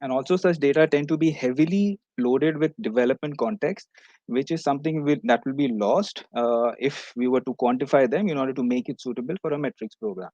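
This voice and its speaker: A man's voice: medium pitch, a little fast, a little mellow, dry recording, muffled recording. A man giving a prepared academic presentation as a researcher at an online conference.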